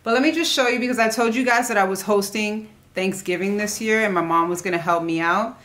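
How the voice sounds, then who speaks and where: A woman's voice: mid-range voice, a little fast, a bit bright. A young adult woman chatting casually to camera as a vlogger, informal and rambling, often trailing off mid-thought. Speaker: vlogger showing a shopping haul. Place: living room at home, indoors.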